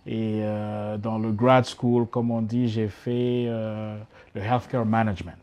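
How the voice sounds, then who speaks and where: A man's voice: on the low side, flat delivery, slow, a little mellow. Hesitant Congolese man, interview guest. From Democratic Republic of Congo. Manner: hesitant, many fillers and restarts. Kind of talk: casual interview talk.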